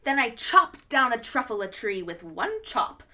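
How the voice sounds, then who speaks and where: Woman reading a kids book out loud, higher voice, swoops all over, takes her sweet time. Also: a little slow. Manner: expressive and animated, with gasps of wonder. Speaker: children's storytime host. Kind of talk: reading a picture book aloud.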